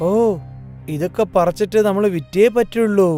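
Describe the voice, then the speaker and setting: Guy voicing humble farmer brother in a kids cartoon, highish voice, sincere n agreeable, bit of music. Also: moderate pace, warm tone, close to an everyday voice. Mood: pleased. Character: loyal good-natured younger brother, a humble hopeful farmer. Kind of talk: dubbed children's fairy tale cartoon. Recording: faint music under the voice.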